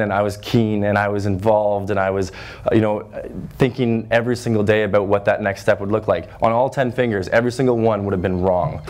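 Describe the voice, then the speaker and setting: Loud young guy in his 20s from northern ontario, kinda low voice, earnest school talk in a classroom, lots of uh's. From Northern Ontario, Canada. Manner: energetic, earnest, many "uh" fillers and restarts. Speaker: guest speaker. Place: high school classroom with chalkboards, audience seated.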